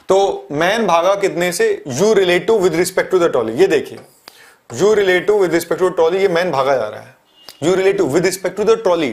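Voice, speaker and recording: A man in his thirties teaching a physics lesson at a board, explaining step by step and asking and answering his own questions. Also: high-pitched. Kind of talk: classroom lecture. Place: studio in front of a large touchscreen board.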